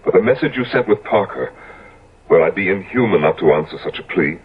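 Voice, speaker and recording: An actor playing a stern, reluctant gentleman friend in a 1970s radio drama, speaking in a formal, indignant manner. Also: low voice, expressive, a little fast, a little hiss or hum. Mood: stern, cold. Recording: dull, lo-fi old radio recording.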